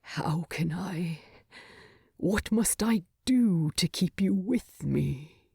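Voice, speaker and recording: A woman voicing a proud, gruff male dwarf king with a deep voice, speaking formally and haltingly with heavy feeling, as in an audiobook. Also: expressive, a little mellow, no echo, clean studio sound, heavily acted. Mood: defiant, pleading.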